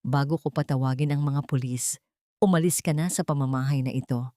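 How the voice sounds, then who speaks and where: A woman's voice: very deep voice, fairly quick, mellow, no echo, clean studio sound. A woman voicing a stern, cold billionaire husband in an audio drama, commanding in manner. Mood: cold anger.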